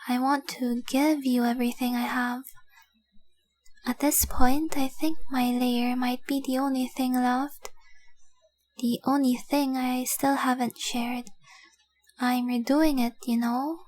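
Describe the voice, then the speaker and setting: A woman playing a devoted young dragon-blooded fiancée in a romantic audio roleplay, speaking softly, earnestly and adoringly. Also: high voice, level tone, slow. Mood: devoted, hopeful. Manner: soft, earnest, adoring, often dropping to breathy whispers.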